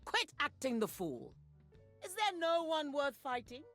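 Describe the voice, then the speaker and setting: A middle-aged warlord general from a video game, imperious and ruthless. Her commanding lines are measured but swing widely in pitch, full of menace, with music underneath. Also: big pitch swings, a bit bright, exaggerated voice. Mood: stern, commanding. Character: proud, ruthless warlord general and fiercely protective mother.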